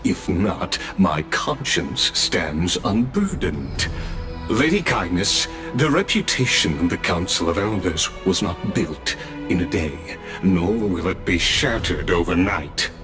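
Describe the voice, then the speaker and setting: A dignified male statesman with a lowish voice and very unhurried delivery. He is formal and composed, solemn as a game's court official, measuring out each phrase. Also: big pitch swings, music in the background, full character voice. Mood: solemn, measured. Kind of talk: video game cutscene voice acting.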